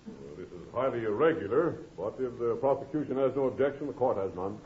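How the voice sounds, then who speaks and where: A man performs a dry, official judge with a mid-pitched but animated delivery. It is old radio comedy, band-limited and thin. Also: muffled recording, a little hiss or hum, natural voice. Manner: formal, measured authority. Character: dry, formal, stern courtroom judge. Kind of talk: 1950s radio comedy acting.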